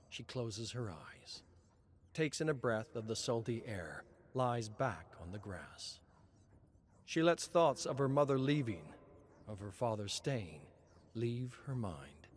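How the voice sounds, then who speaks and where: A man reading scripted narration as a storyteller, solemn and measured, with dramatic pauses. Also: fairly low pitch.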